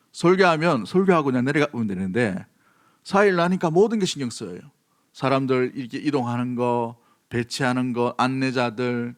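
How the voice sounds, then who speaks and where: A man from South Korea preaching a sermon as a pastor, emphatic, repeating key phrases and putting questions to his congregation. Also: medium pitch.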